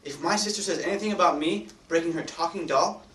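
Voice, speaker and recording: A man's voice: fairly high pitch, fast talker, fairly bright tone, close to an everyday voice. Man playing an eager boy in an echoey room. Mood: eager, defensive. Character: eager mischievous young boy writing to Santa. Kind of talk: staged readers theatre. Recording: echoey room, distant mic, faint noise.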